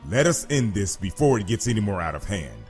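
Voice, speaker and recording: A man's voice: lowish voice, big pitch swings, a little fast, a little mellow. A man playing a stern, righteous Jedi master in a comic dub, speaking in a grave, resolute, formal manner. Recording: faint music behind.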